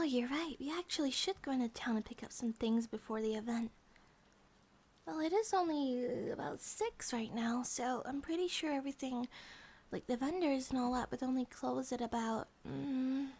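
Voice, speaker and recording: High-voiced, cutesy woman playing a bear-girl wife. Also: a little mellow. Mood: curious, casual. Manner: cutesy, rambling, giggly, sometimes dropping to a whisper. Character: affectionate, childlike, possessive grizzly bear monster-girl wife. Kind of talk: intimate ASMR roleplay to the listener.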